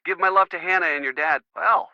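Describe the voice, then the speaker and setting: A woman's voice: on the low side, a little fast, dry recording. A woman playing an easygoing, playfully teasing friend on a telephone call in a video game. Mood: teasing, warm. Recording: dull band-limited phone sound.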